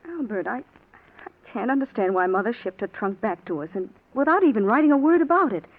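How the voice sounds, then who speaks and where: Animated, fretful wife, tinny old radio. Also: female voice, on the high side, brisk. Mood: worried. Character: trusting, fretful young wife, politely insistent. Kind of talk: 1940s radio acting. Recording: thin, phone-like vintage radio recording.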